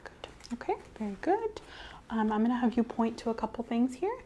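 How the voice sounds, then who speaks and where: A woman doing a soft-spoken ASMR medical role-play as a clinician, gently reassuring and giving step-by-step instructions. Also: fairly high pitch, sing-song, fairly quick, a little hiss or hum. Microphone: clip-on mic.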